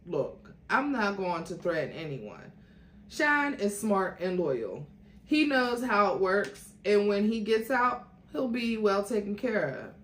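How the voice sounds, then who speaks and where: Woman putting on an arrogant, calm rich man. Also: medium pitch, slow pace, echoey room. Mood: calm, smug. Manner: calm, confident, smug. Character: arrogant, unflappable wealthy man, smooth and reassuring.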